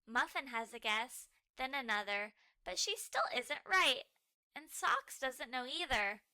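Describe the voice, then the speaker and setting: A woman narrating a children's storybook aloud, expressive and animated, carefully phrased for early readers. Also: high voice, unhurried, no room sound, rough recording.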